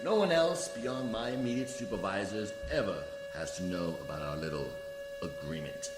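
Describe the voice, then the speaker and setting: A man's voice: lowish voice, wildly swooping pitch, normal speed. A man acting a coolly formal, condescending alien judicial official in a science fiction radio play, speaking with precise diction. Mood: smooth bargaining. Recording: echoey hall reverb, music behind.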